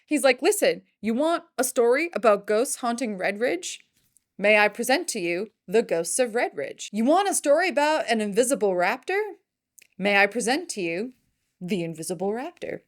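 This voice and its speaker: Woman, news host, high voice, lively swooping intonation, playful, emphatic, scripted commentary. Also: normal speed. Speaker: host of a film news show. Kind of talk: scripted entertainment news commentary with jokey asides.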